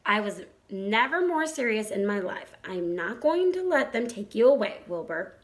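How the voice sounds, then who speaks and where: A woman voicing a motherly female spider in a storybook, cool and collected, comforting and gently firm as she gives measured advice. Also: medium pitch, very animated, close to an everyday voice.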